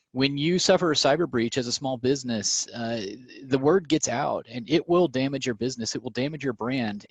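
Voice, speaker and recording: A man's voice: mid-range voice, no room sound. A man from southern Louisiana presenting informally on a conference call, conversational in his delivery. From southern Louisiana, United States. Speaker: presenter, small business cybersecurity advisor. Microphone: remote conference call.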